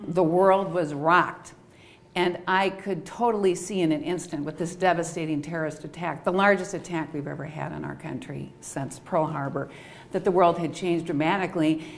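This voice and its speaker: Woman, middle-aged, from Minnesota, lowish pitch, emphatic, enthusiastic, casual panel introduction. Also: normal speed, gravelly. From Minnesota, United States. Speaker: political discussion panelist. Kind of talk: casual personal introduction to a group.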